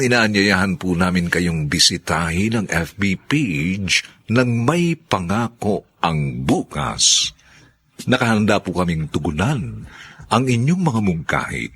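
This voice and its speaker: A man's voice: on the low side, a bit bright, husky. A man announcing a radio drama with formal, theatrical scripted narration.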